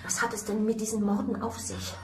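A woman's voice: medium pitch, fairly even intonation, a little fast, over music, natural voice. A woman playing a polite, curious young servant in a German mystery radio play, with an inquisitive way of speaking. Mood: uneasy, curious. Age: young adult. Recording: roomy, distant, noisy background ambience.

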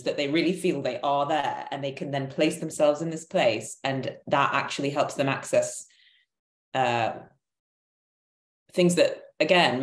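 A woman in her thirties thinking aloud in an emphatic, searching way as a panelist on a video call. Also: low voice. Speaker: panelist, theatre maker. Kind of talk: reflective conversational explanation. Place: indoor room at home, video call. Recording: roomy, echoing video-call sound.

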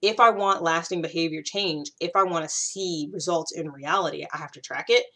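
A woman's voice: sits low, a little fast. An American woman in her thirties talking casually to camera, conversational and emphatic, repeating points for stress. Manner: conversational, animated gesturing, emphatic repetition. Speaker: YouTube host sharing self-growth advice. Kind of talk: casual direct-to-camera talk.

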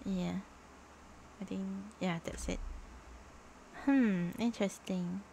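A woman's voice: medium pitch, slow pace, vocal fry, warm tone, slight background noise. A young woman giving casual, hesitant reaction commentary as a streamer.

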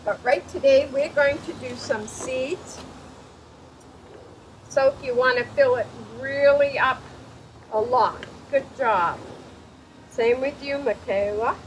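A woman's voice: sits high, slow pace, pauses often, poor audio. A woman in her sixties showing young children how to garden, encouraging them with praise and simple instructions. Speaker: children's storytime host. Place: outdoors at a table in the wind. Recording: breezy outdoor noise, birds.

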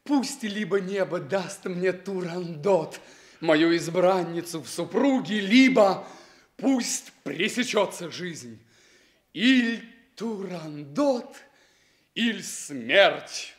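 A man's voice: high voice, lively intonation, drawn-out words, heavily acted. A man playing a lovesick, noble young prince, declaiming solemn verse in a theatrical radio play. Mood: ardent, solemn.

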